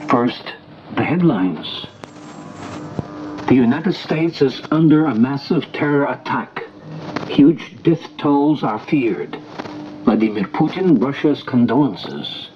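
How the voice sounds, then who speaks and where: A man reading a formal scripted international radio news bulletin in a measured, deliberate way. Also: medium pitch, animated. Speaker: radio newsreader. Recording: noisy, muffled shortwave radio broadcast recording. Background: shortwave static and noise.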